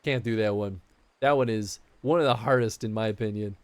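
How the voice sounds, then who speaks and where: A man's voice: on the low side, fairly quick, no room sound. A man chatting casually on a live game stream, reacting playfully and laughing often.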